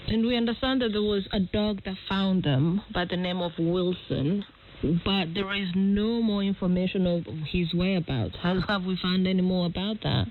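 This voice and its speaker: A woman's voice: on the low side, normal speed. A woman presenting radio news, reading reports and putting questions, heard over shortwave radio. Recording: narrow-band noisy shortwave radio broadcast.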